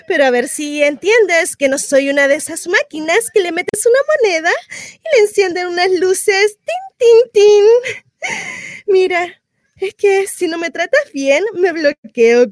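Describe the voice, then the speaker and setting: A woman's voice: very high-pitched, expressive, unhurried, no room sound, voice pushed up. A woman acting an exasperated, outspoken woman in a theatrical monologue, pleading breathlessly and emphatically with her lover. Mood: indignant. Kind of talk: dramatized monologue reading, theatrical.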